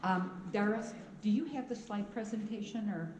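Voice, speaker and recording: A middle-aged woman giving a frank, loud, conversational talk to a live audience without a mic. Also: mid-range voice, normal speed. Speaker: speaker. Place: meeting room. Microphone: none, room mic. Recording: roomy hall, voice from far off, faint noise.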